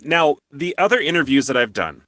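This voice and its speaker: A man's voice: on the high side, animated, brisk, sharp tone, dry recording, studio quality. A man hosting an interview in a conversational style, explaining at length as he works toward his questions. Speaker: interview show host.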